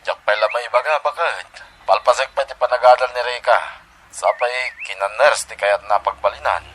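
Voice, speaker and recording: A man playing a gentle, devoted father in an audio drama, with an Ilocano accent. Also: mid-range voice, normal speed, lightly acted. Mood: apologetic, caring.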